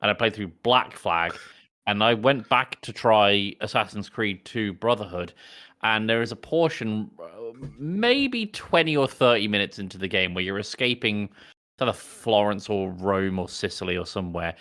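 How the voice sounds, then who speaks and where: Guy podcast cohost, lowish voice but bright and punchy, just chatting. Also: lively intonation, normal speed. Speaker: podcast co-host. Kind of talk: casual chat.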